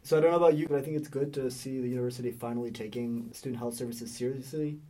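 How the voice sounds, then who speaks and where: A man's voice: mid-range voice, steady tone, fast talker, warm tone. A young man co-hosting a student news show from a recording booth, reading stories and adding comments.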